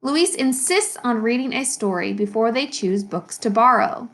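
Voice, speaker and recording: Expressive, high-pitched woman teacher reading aloud. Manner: expressive, instructive, pauses to explain and question. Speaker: elementary school teacher. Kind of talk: children's picture book read-aloud with questions to pupils.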